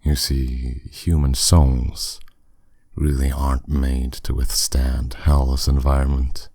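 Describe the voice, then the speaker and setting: A man playing a powerful, courteous demon captor in an ASMR roleplay, speaking with smooth, teasing, condescending authority. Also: a bass voice, little pitch movement, mellow, no echo, exaggerated voice. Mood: calm, matter-of-fact. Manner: smooth, condescending, unhurried, with endearments.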